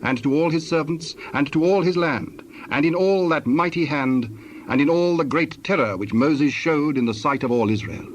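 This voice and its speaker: A man narrating scripture in a solemn, scripted storytelling style with a husky voice. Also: a little high, moderate pace, lo-fi sound, slight background noise.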